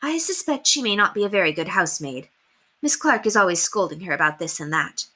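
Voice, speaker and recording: A woman's voice: on the low side, sing-song, brisk, sharp tone, heavily acted. A woman voicing an earnest, modest young governess in a storybook, polite and a little self-conscious.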